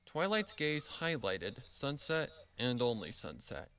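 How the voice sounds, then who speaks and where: A man's voice: medium pitch, huge pitch swings, unhurried, vocal fry, bright, forceful tone, clean tone. A man reading scripted fan fiction as the narrator.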